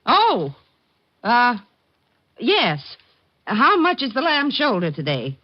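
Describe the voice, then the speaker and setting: A woman's voice: fairly high pitch, huge pitch swings, takes it slowly, full character voice. An actress playing a prim, easily affronted lady shopper in an old radio drama, polite and fussy. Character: prim, easily affronted female butcher shop customer. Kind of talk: old-time radio comic character acting. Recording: dull lo-fi vintage radio recording.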